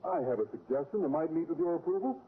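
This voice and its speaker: An actor playing a naive, mild-mannered schoolteacher, earnest and innocent, in an old radio sitcom. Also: male voice, on the high side, expressive, fast, natural voice. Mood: apologetic. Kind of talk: 1940s-50s radio sitcom acting. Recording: tinny vintage radio with faint noise.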